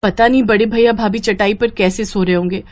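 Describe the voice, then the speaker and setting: A woman storyteller puts on a kind young daughter in a cartoon family drama. She is earnest and full of concern, with a medium-pitched voice. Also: fairly even intonation, a little fast, darkish tone, lightly acted. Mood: troubled.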